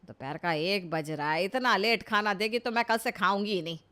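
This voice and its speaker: A woman playing a nagging, sharp-tongued older village mother-in-law in a cartoon family comedy, scolding and sarcastic. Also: medium pitch, moderate pace, clear, resonant tone, natural voice. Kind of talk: Hindi animated family comedy story acting.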